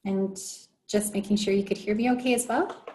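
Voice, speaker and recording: A woman with a quiet voice presenting as a town community services manager over a video call. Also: medium pitch, steady tone, a little fast. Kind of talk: online meeting presentation.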